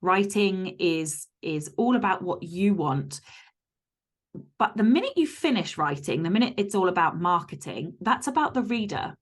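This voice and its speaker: Female podcast guest, author type. Lowish register, candid, emphatic delivery in casual conversation. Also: expressive, moderate pace. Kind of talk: casual interview chat.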